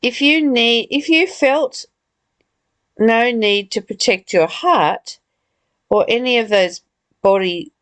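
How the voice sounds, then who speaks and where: A woman hosting a spiritual study, reading aloud and reflecting casually in a halting, deliberate way. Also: on the high side, fairly bright tone, dry recording. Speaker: host of a spiritual book study.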